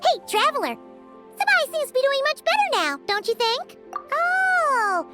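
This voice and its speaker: A woman voicing a small, excitable, childlike fairy companion in an anime-style video game, squeaky and full of exclamation. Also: measured pace, full character voice. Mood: bubbly, excited. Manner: speaks of herself in third person, exclaiming. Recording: music behind the voice.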